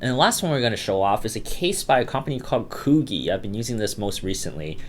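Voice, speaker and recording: A man with a slightly low voice and a cold hosts his own gadget review channel. He talks casually and chattily, circling back with fillers and restarts as he walks through a product. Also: sing-song, fast, a little hiss or hum. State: has a cold, voice sounds a bit off. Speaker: tech reviewer, channel host.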